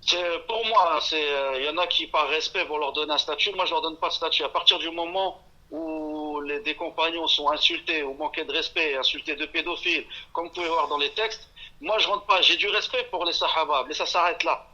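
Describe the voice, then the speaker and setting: A man's voice: a little high, fairly quick, pushed and bright. A man on the phone as an interview guest, blunt and categorical, cutting in over the host. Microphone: telephone. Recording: muffled phone line.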